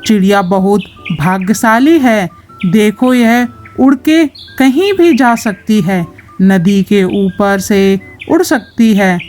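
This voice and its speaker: A woman's voice: medium pitch, slow pace, darkish tone, soft background music, natural voice. A woman voicing a young male horse, wishful and boastfully determined, in a children's cartoon story. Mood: wistful.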